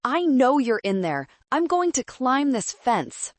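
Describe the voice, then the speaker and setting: A woman's voice: sits high, very animated, slow, dry recording, pitched above the performer's own voice, heavily acted. A woman voicing a hot-headed, aggressive man screaming threats in a story. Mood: furious.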